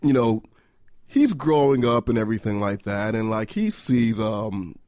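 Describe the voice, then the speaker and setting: A man's voice: fairly low pitch, a little slow, a little mellow, dry recording. Chatty male radio guest, dry sound. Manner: conversational, lots of fillers like "you know" and "like". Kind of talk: casual panel discussion.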